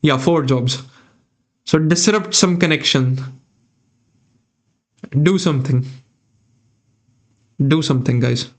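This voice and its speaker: A man's voice: on the high side, takes it slowly, long pauses. A young man from India with an Indian accent, thinking aloud as he comments on a chess game and chats with his viewers from a small room. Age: young adult. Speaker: chess streamer.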